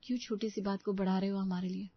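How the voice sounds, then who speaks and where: A woman voicing a soft-hearted young mother in a story, soothing and placating. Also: medium pitch, flat delivery, quick pace, breathy edge, muffled recording.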